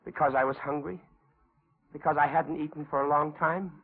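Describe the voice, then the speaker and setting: An actor playing a menacing stranger with a terse, gravelly delivery in a 1940s radio suspense drama. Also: male voice, a little high, steady tone, normal speed, narrow, tinny audio. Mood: guarded, low. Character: menacing male stranger who has taken a woman captive.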